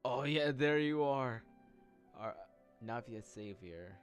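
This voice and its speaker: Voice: medium pitch, expressive, slow, faint music behind. A gameplay commentator reacting casually while playing a story game.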